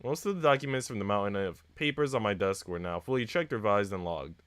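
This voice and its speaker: Low-voiced, lively man streaming. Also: fairly quick, smooth, no room sound. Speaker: live streamer reading a visual novel. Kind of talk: reading game text aloud and chatting casually on stream. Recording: noisy mic with typing sounds.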